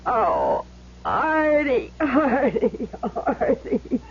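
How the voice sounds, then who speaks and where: Defiant girlfriend, slow, hugely varied intonation, principled, muffled vintage radio drama. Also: sits high, wildly swooping pitch, full character voice. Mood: dismayed. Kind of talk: 1970s radio drama acting. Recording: muffled, noisy old radio recording.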